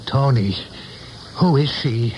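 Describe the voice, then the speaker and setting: Guy acting rattled anxious man, slow talker, 70s radio play, bit of hiss. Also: mid-range voice, darkish tone, lightly acted. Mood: grave insistence. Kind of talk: 1970s radio drama acting. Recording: faintly noisy old radio recording.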